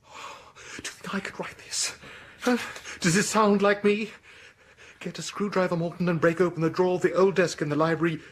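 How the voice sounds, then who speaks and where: An actor playing an agitated, commanding English gentleman haunted by horror in a radio drama, swinging from whispers to shouts. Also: high-pitched, fairly even intonation, brisk, close to an everyday voice. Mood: angry, incredulous. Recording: noisy 1980s radio drama broadcast.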